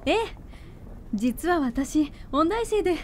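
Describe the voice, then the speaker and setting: A woman's voice: sits high, animated, slow, a little hiss or hum. A woman playing a polite, frank young music student in a radio drama, composed and direct. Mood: polite, gentle. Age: young adult.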